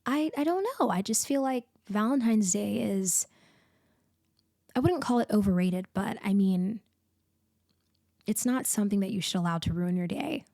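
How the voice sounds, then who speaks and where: A woman plays a blunt, caring female best friend in an intimate comfort roleplay. Her medium-pitched voice is conversational and unhurried in feel, with small hesitant pauses. The sound is close and very dry. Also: vocal fry, mellow, no room sound, close to an everyday voice. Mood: teasing, blunt. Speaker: audio roleplay performer. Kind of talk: intimate roleplay ASMR, casual one-sided conversation.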